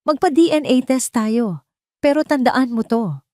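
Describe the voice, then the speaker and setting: A woman's voice: high voice, animated, a little slow, warm tone, no room sound, clean studio sound, voice pushed up. A woman with a Filipino accent playing a cold, proud young billionaire man who speaks tersely and with menace in an audio drama. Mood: cold, threatening.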